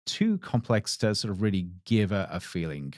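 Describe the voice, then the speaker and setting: A man co-hosting a podcast, explaining a book in a measured way, his voice dropping quietly at the ends of phrases. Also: fairly low pitch, big pitch swings, no echo, clean studio sound. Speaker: podcast co-host. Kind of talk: casual book chat.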